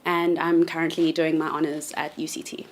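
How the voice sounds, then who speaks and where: A woman's voice: low voice, flat delivery, normal speed. A woman in her twenties from South Africa, telling a story casually, with lots of ums and hesitant restarts. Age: 20s. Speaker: university student, panel guest.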